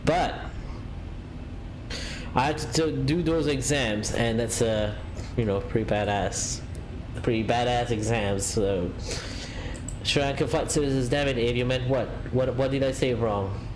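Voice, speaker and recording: Casual streamer: man, rambling, mid-pitched voice, faint background noise. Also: unhurried, pauses often. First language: Italian. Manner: rambling, many fillers and restarts, reads out chat. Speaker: flight simulator streamer. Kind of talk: casual live-stream chat.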